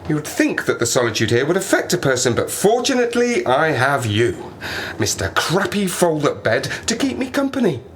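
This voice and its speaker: Male survivor, played by an actor, audio drama monologue: sardonic, rambling, isolated and cracking up, very lively intonation, faint ambient noise. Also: a little high, normal speed, few pauses. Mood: wry, manic. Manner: rambling, self-mocking, sardonic, talks to himself. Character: stranded plane-crash survivor, wry, lonely, rambling, half-mad.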